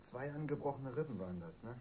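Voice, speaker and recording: A man's voice: mid-range voice, lively intonation, quick pace, mellow, a little hiss or hum, natural voice. An actor playing a plain-spoken, aggrieved man in a German radio crime drama, blunt and colloquial.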